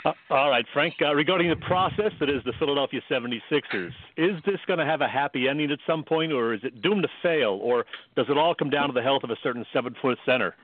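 A man's voice: mid-range voice, animated, fast, hardly any pauses. A man hosting a sports radio interview and putting questions to a guest, in dry, narrow phone-like sound. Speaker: radio show host.

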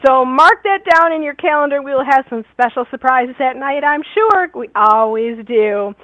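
A woman co-host runs through station announcements in a high, upbeat, brisk voice. The recording sounds dull and lo-fi and is very dry. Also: animated, no echo. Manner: upbeat, brisk promotional delivery. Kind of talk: reading station announcements on air.

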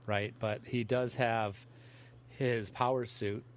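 A man co-hosting a podcast in casual comedic chat, halting and jokey, on a noisy recording. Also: medium pitch, fairly even intonation.

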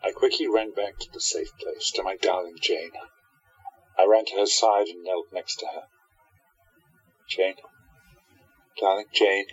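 A man's voice: deep voice, measured pace, long pauses, breathy edge. An actor playing a protective, devoted hero who narrates and speaks his part in a radio play, earnestly, on dull lo-fi audio. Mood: tender. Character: protective, devoted male hero with secret powers. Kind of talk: radio play acting and first-person narration.

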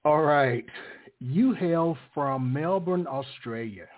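A man's voice: on the high side, animated, takes it slowly. A man hosting a radio interview, polite and friendly with callers and guests. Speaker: radio host. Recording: phone-like narrow sound.

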